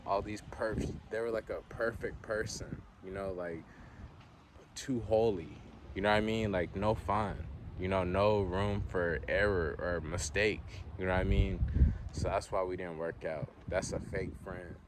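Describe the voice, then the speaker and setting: A man's voice: low voice, steady tone, measured pace, background noise. A man from the Southern US with a Southern accent, rambling in an unscripted monologue and saying "you know" a lot. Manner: rambling, frequent "you know", laid-back. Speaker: vlogger.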